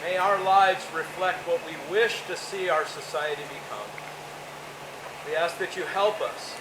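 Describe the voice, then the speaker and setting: A man leading an outdoor spoken prayer at a club meeting, loud and earnest. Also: sits high, unhurried. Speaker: leads the prayer. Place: outdoor park. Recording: noisy, echoey outdoor recording.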